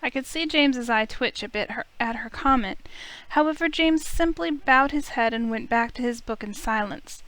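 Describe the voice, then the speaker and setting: A woman reading a visual novel aloud as an expressive first-person story, with music underneath. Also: on the high side, moderate pace, rough recording.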